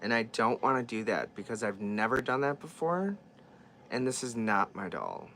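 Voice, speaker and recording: A man's voice: mid-range voice, animated, normal speed, fairly bright tone, slight background noise. A man chatting casually as he works on a repair, thinking aloud and often trailing off and restarting his sentences. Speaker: vlogger repairing dolls. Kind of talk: casual chat while working.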